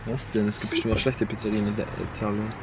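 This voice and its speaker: A man's voice: fairly low pitch, little pitch movement, fast talker. A young man chatting casually in a travel vlog, in slangy running commentary, filmed with a handheld camera outdoors and in a car. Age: young adult. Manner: loose slangy chatter, narrating on the move. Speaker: vlogger. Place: outdoors and in a car on a road trip. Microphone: handheld camera at arm's length. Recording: loud wind and traffic noise outdoors.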